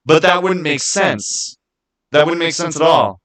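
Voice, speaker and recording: Guy on a livestream, kinda higher voice, rambling casually about game lore, repeats stuff to make a point. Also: expressive, measured pace, a bit bright. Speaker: streamer. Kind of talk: casual live chat explaining game lore.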